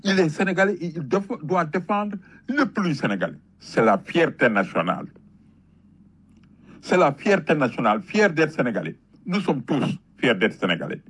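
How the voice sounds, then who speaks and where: A man's voice: fairly high pitch, sing-song, moderate pace, fairly bright tone, slightly rough. A man with a Senegalese accent giving an impassioned patriotic talk, emphatic and rhetorical, telling stories with repetition. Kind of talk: impassioned patriotic public talk, switching between French and Wolof.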